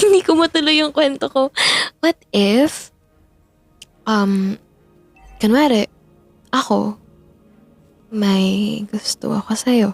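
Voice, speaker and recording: A woman's voice: medium pitch, husky. A woman performing an audio roleplay as a playful young best friend who teases, giggles and backtracks when flustered. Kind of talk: audio roleplay, chatting while gaming.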